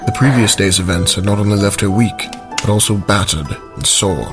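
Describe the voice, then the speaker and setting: A man's voice: lowish voice, a little fast. A man narrating a scripted fantasy story for an audio drama in a storyteller's style. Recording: loud music behind the voice.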